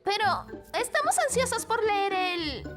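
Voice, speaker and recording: A woman's voice: extremely high pitch, expressive, a little slow, loud music behind the voice. A woman voicing a kindly, polite and tactful young girl in a cartoon dub. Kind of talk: animated cartoon dub acting.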